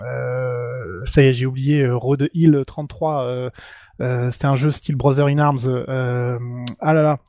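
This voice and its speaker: A man co-hosting a casual podcast, talking in halting bursts full of fillers and restarts. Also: mid-range voice, fairly even intonation, mellow, dry recording. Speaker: podcast co-host.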